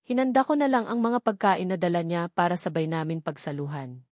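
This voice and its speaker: A woman's voice: on the low side, a little fast, darkish tone, no room sound, studio quality. A woman with a Filipino accent reading a first-person novel as a scripted narration. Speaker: audiobook narrator.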